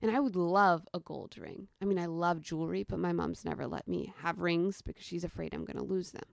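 A woman in her thirties from the United States, a storyteller guest who chats casually and then tells a personal story in the present tense, with lively quoted voices. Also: lowish voice, a little fast, gravelly, no echo, studio quality.

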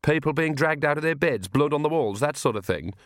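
A defiant young woman, performed by a male reader, sceptical and sharp-tongued. The lines come out as pointed sarcasm in a raised pitch, recorded dry for a storybook. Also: animated, a little fast, no room sound, close to an everyday voice. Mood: sarcastic. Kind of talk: audiobook character voice.

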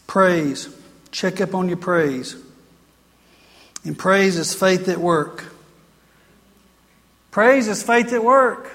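A woman's voice: on the low side, very slow, pauses often, fairly bright tone. An American woman preaching a sermon to a congregation in an emphatic, repetitive, exhorting manner. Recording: reverberant hall sound.

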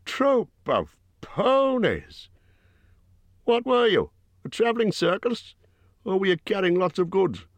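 Man doing a rough booming bear-man host, higher and louder than his own voice, dry close sound. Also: very animated, slow pace, no echo, exaggerated voice. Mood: gruff. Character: gruff huge bear-man host, blunt and impolite.

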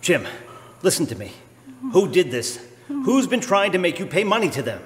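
An actor playing a composed, concerned gentleman sleuth in a live radio drama, earnest and measured. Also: high voice, very animated. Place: church hall stage. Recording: echoey hall, background noise.

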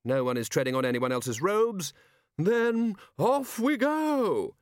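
A man's voice: sits high, sing-song, takes it slowly, dry recording, clean studio sound, pitched above the performer's own voice, full character voice. A man voicing a flustered, blustering old male wizard who bellows and stammers. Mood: bellowing.

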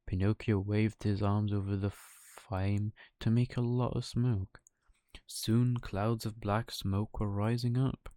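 A man's voice: fairly low pitch, little pitch movement, takes it slowly, dark tone, no room sound, clean studio sound. A man reading a children's bedtime story as its narrator.

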